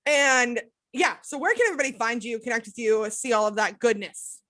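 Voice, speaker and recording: A woman hosting a podcast, chatting in an effusive, gushing way with quick joking asides. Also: on the high side, bright, forceful tone. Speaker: podcast host. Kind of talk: casual interview chat.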